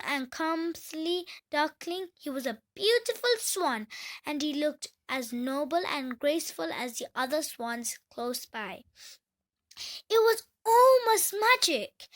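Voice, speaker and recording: A woman reads a children's tale aloud. Her voice sits high, and she takes the narration slowly, as in a read-along for young listeners. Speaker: children's story reader.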